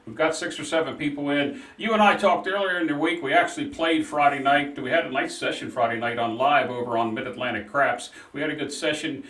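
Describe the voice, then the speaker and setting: A man's voice: mid-range voice, a bit bright, echoey room, recorded from a distance, low-quality recording. A man co-hosting a live call-in show, chatting and reading out viewer messages. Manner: chatty, runs the chat on air. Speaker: co-host.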